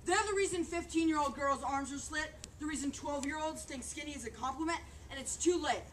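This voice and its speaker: A high-voiced woman delivers dramatic spoken word with a punchy rhythm. She is picked up from a distance, with clear noise behind her. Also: a little fast. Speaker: readers theater performer.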